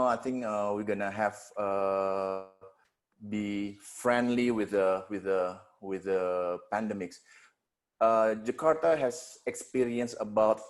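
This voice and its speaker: Low-voiced man, halting on a video call. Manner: halting, many fillers and restarts. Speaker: security panelist. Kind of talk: webinar panel talk.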